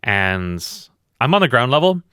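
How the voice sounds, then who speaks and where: A tired man with a loud voice, co-hosting a podcast in a blunt, rambling, swearing chat. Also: medium pitch, very animated, unhurried, clear, resonant tone, no room sound, studio quality. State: very tired from lack of sleep. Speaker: podcast co-host.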